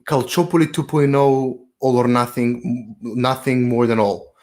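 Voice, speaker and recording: A man's voice: medium pitch, fairly even intonation, unhurried, a little mellow. A man co-hosting a podcast in casual chat, blunt and teasing, swearing freely. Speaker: podcast co-host.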